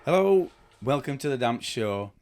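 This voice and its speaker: A man in his fifties hosting a casual podcast interview in a cluttered home studio, talking haltingly with many fillers and restarts. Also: mid-range voice, sing-song, darkish tone, soft background music. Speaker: podcast host. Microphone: studio mic with headphones.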